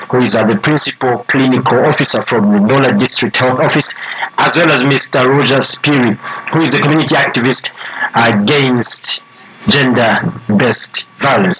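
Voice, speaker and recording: A man with a Zambian accent hosting a live radio phone-in in a measured, formal announcing way with deliberate pauses. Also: mid-range voice, background noise. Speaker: radio host. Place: radio studio. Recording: radio broadcast with background chatter.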